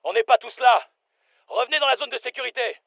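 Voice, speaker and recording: An actor playing a brisk special forces soldier, giving clipped, commanding combat callouts for a dubbed military game. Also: male voice, very high voice, gravelly, dry recording, phone-quality sound. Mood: brisk, focused.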